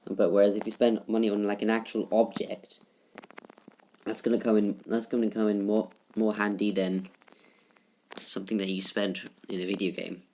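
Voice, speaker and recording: A teenage boy giving casual gameplay commentary in a halting, rambling way, full of restarts, recorded in a shared room at home. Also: fairly low pitch, slight background noise, poor audio. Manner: subdued, somewhat flat delivery. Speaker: gaming YouTuber.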